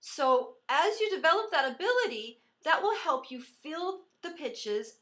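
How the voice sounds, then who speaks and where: A woman voice teacher giving an encouraging singing lesson, explaining pitch and resonance as she demonstrates. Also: lively intonation, a little slow, sharp tone.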